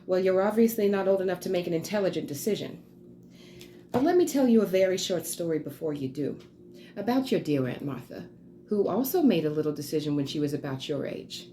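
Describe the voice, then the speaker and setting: A woman's voice: fairly low pitch, animated, brisk, dark tone, a little hiss or hum, close to an everyday voice. Woman acting stern, imperious society mother. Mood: stern, scolding. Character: stern society mother lecturing her daughter. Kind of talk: theatrical dramatic monologue.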